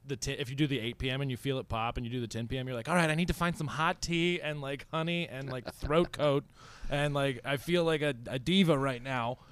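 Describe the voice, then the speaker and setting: Man, clear smooth voice, dry sound, stammering comic, casual studio chat. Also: mid-range voice, steady tone, brisk, few pauses, fairly bright tone, no room sound. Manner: stammering restarts, frequent agreeing yeahs. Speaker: comedian, co-host. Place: podcast studio.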